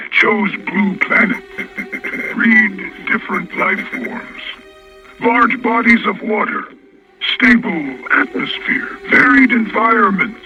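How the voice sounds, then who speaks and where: A man's voice: sits high, bright, forceful tone, lo-fi sound, exaggerated voice. A man playing a Martian chronicler in a radio drama, speaking in clipped, solemn, telegraphic phrases. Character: alien Martian chronicler solemnly recounting an ancient invasion plan.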